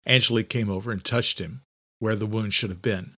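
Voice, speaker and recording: A man's voice: fairly low pitch, fairly even intonation, brisk, sharp tone. A man reading scripted, dramatic narration for an audiobook.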